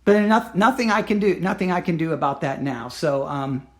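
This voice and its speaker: A man hosting a casual solo podcast, hoarse from losing his voice, rambling and correcting himself as he earnestly pleads with listeners. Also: fairly high pitch, animated, fairly quick. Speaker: podcast host.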